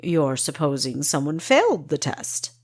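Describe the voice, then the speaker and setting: A woman voicing an amused, evasive male spy leader with a deep, gritty voice for an audiobook. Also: big pitch swings, a little slow, darkish tone, breathy edge, exaggerated voice. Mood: smug, evasive.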